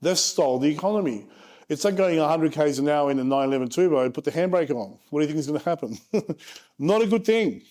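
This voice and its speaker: A man in his forties with a slightly raised pitch, talking frankly and casually through charts. He drops joking asides as he explains the numbers to investors. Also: lively intonation, quick pace, a little mellow. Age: 40s. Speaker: property investment presenter. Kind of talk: casual presentation over charts.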